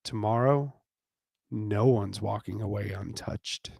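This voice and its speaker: A man's voice: fairly low pitch, slow pace, creaky, a little mellow, slightly rough, no echo, clean studio sound. A man narrating a romance story in a dramatic reading style.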